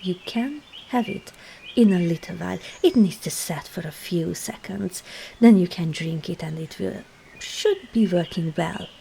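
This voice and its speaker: A woman's voice: on the low side, expressive, a little slow, gravelly, mellow, a little hiss or hum. A woman voicing a sly, teasing traveling witch and herbologist in an ASMR roleplay, coy and murmuring into half-whispers as she addresses the listener. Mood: probing, soft.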